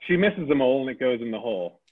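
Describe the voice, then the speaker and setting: A man's voice: medium pitch, sing-song, fast, a little hiss or hum. A man reading the stage directions at a comedy table read, amused and laughing between lines. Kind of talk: reading a comedy script aloud. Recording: tinny phone-like sound.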